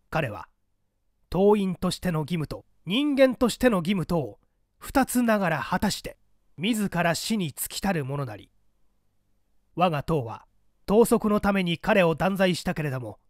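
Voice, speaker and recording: 秘密政党の厳格な指導者が文語調で荘重に宣言するのを演じる男性。. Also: male voice, sits high, dry recording, clean studio sound, full character voice. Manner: formal, declamatory, archaic literary diction. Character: secret party leader proclaiming a stern, solemn official declaration.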